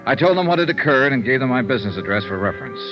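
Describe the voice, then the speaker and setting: An actor playing a cool, terse insurance investigator in a vintage radio noir, speaking and narrating in a dry, matter-of-fact way. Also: male voice, medium pitch, animated, rapid-fire, muffled recording, close to an everyday voice. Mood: dry narration. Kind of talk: 1950s radio noir acting and first-person narration. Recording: vintage radio recording, band-limited, music behind.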